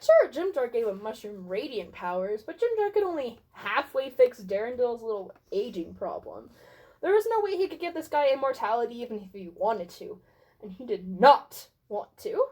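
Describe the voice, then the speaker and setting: A woman's voice: fairly high pitch, sing-song, normal speed, mellow. A woman giving a playfully theatrical, tongue-in-cheek dramatic reading of comic fan fiction as narrator.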